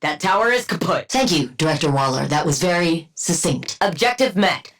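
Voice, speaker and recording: A woman's voice: fairly low pitch, expressive, moderate pace, a bit bright. An actress playing a cool, sardonic female scientist supervillain in a video game, with precise diction and a clinical, condescending manner. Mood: smug, deadpan. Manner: clinical, condescending, dryly witty. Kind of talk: video game combat barks.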